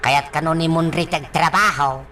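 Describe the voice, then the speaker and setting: A woman's voice: low-pitched, fairly even intonation, normal speed, bright, forceful tone, soft background music. A woman acting a folksy older character in a radio drama, drawling and chuckling, with a repeated catchphrase. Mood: hesitant, fretting.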